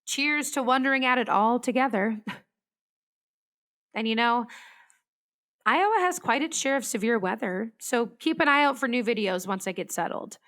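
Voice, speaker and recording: A wistful, searching storm-chasing meteorologist. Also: female voice, a little high, expressive, a little slow, pauses often, a bit bright. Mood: reflective, hopeful. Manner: thoughtful, searching, hesitant. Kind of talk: confessional monologue in an audio drama.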